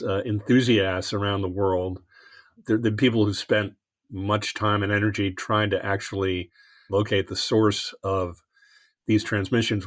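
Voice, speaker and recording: A man hosting a conversational interview, phrasing his questions and reflections in a measured, thoughtful way. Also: lowish voice. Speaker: podcast host.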